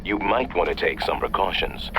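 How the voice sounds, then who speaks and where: Deep, sharp radio handler. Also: male voice, expressive, moderate pace, slight background noise, rough recording. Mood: serious, briefing. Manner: urgent, matter-of-fact briefing. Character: serious male intelligence handler briefing over radio. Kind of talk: video game cutscene acting.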